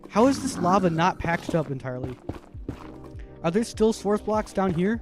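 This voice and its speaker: A man with a very high voice giving casual, chatty live stream commentary as he plays a video game. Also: moderate pace, over music. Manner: chatty, self-narrating while playing, easily animated.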